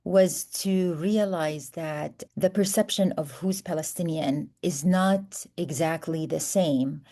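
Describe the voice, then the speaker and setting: A woman in her fifties who grew up in Saudi Arabia, introducing herself as an author on a book panel and telling her story in an earnest, steady way. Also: deep voice. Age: 50s. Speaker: contributing author.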